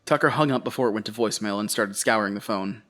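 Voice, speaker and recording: An actor playing a weary, uneasy survivor who tells his story in a halting, confessional way for an audio drama. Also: on the low side, fairly bright tone, close to an everyday voice. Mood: weary, reflective. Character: weary, uneasy male survivor recounting a horror ordeal. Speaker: narrator and lead character. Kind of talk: audio drama first-person narration.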